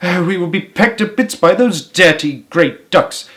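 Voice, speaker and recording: A man putting on a high, shrill storybook mother who frets about everything. Her voice climbs and swoops with worry, shaken and breathless. She sounds like she is about to flap off in a panic. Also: very animated, normal speed, a bit bright. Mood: fretful. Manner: anxious, fussing, shrill. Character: fretful mother, a woman turned bird. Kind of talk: children's story read aloud.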